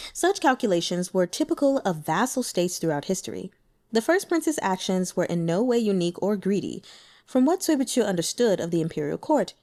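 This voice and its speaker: Lively, mellow-voiced woman storyteller. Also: medium pitch. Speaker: audiobook reader. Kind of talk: scripted narration of a novel.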